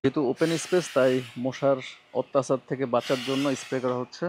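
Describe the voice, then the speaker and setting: A man's voice: mid-range voice, steady tone, fast talker, noisy background. A man with a Bangladeshi accent narrating a casual vlog informally, dropping English words into his talk. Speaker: vlogger.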